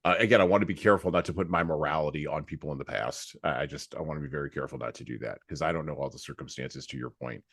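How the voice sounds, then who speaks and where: An American man with a very low voice talks as a podcast host in a conversational, opinionated way. He leans on repeated phrases and rhetorical questions to drive his points. The sound is dry, with hardly any room in it. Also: very fast, gravelly, hardly any pauses, a bit bright, husky, no room sound. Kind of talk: conversational book discussion and commentary.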